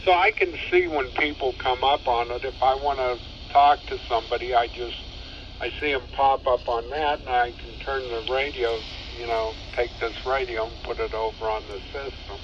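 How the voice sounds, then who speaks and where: A man chatting casually over ham radio in a halting, rambling, matter-of-fact way. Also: mid-range voice, fairly even intonation. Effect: two-way radio transmission. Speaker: amateur radio operator. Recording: dull two-way radio signal, faint noise.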